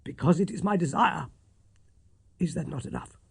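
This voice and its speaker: A man voicing a gaunt, ailing gentleman detective, feeble, croaking and gasping yet sharply commanding, in an audiobook story. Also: fairly high pitch, expressive, normal speed, voice pushed up, heavily acted. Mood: sharp, imperious.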